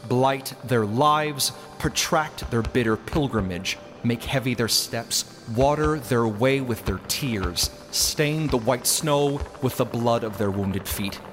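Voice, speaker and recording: A man's voice: mid-range voice, fairly bright tone, slight background noise. Man playing a solemn, commanding messenger of God. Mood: intense prayer. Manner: oratorical, preaching in measured archaic phrases. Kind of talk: dramatic storybook narration.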